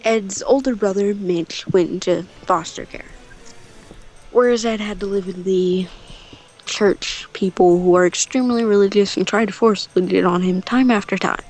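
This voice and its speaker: A woman's voice: lowish voice, fairly even intonation, normal speed, a little mellow, rough recording. A woman telling a story casually and off the cuff, rambling, with offhand asides. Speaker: storyteller on a gaming channel.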